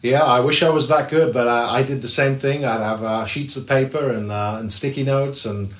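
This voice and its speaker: A man's voice: medium pitch, fairly even intonation, moderate pace, fairly bright tone, echoey room. A man with an English accent, a real estate agent, answering interview questions in a chatty way with many fillers and restarts. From England. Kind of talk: podcast interview.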